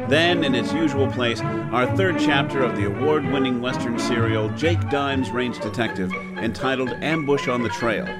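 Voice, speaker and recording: Male host over loud music. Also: mid-range voice, normal speed, few pauses. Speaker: show host. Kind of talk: casual scripted introduction.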